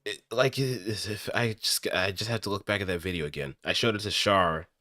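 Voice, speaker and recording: A man chatting casually as a streamer, talking in an animated, rambling way. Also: low voice, fairly quick, no room sound, clean studio sound. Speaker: streamer practicing voice acting. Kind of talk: casual livestream chat.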